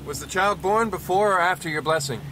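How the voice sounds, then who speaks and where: An actor playing a pious, officious border officer in an audio drama, clipped, procedural and coldly insistent. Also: male voice, high-pitched, a little fast, noisy background, close to an everyday voice.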